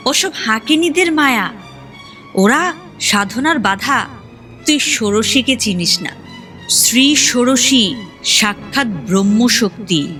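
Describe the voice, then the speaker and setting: A domineering mad holy woman of the tantric path for an audio drama. Her voice is high and cutting, and she taunts and scolds with open scorn. She takes her words slowly. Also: fairly bright tone. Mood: scornful scolding. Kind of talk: Bengali audio drama acting.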